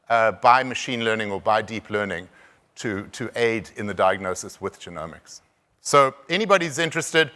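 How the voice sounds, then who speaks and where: A man with a South African accent giving a conference presentation, halting, with frequent restarts and repeated words. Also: mid-range voice, pushed and bright. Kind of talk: conference talk.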